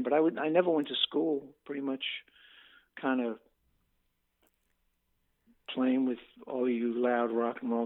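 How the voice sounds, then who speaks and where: A man, a saxophone player from Bermuda, chatting casually as an interview guest, relaxed and given to chuckles and repeated words. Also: medium pitch. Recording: tinny phone line.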